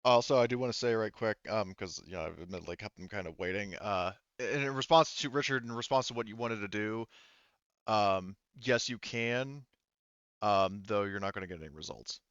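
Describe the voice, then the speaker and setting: A man's voice: fairly low pitch, fairly quick, a bit bright, clear, resonant tone, dry recording, lo-fi sound. A man running a tabletop roleplaying game as game master, explaining and narrating in a hesitant, rambling way.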